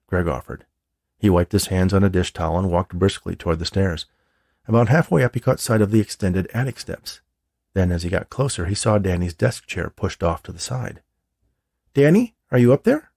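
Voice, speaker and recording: A man narrates an audiobook in a lowish, gravelly voice. His delivery is expressive and the sound is close and dry. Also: no room sound. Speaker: author reading own novel.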